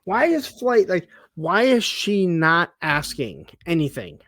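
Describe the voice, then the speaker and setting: A man's voice: sits high, very animated, slow, fairly bright tone, dry recording, clean studio sound. A man co-hosting a TV recap in casual chat, picking at the story with rhetorical questions. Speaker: co-host of a TV recap show.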